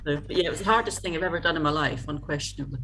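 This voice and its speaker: A middle-aged Scottish woman from Scotland, a guest in a casual video-call interview, talking haltingly with many fillers and restarts. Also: deep voice, noisy background.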